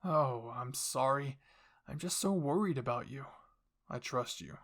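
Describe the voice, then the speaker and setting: An older noble father in an anime roleplay, acted by a man. His voice is creaky and rough, at a middling pitch. He speaks with measured, commanding calm, as one used to explaining and being obeyed. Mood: stern, controlled.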